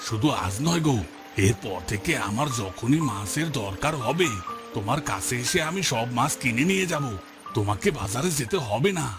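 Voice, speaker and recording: A man's voice: medium pitch, expressive, moderate pace, over music, natural voice. A man voicing a friendly, businesslike fish buyer in a cartoon story. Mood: friendly, keen. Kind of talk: Bengali moral story cartoon dubbing.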